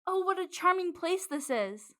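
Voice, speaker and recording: Woman acting a high-voiced, theatrical polite lady. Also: animated, moderate pace, background noise. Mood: gushing, pleased. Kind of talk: amateur radio drama acting.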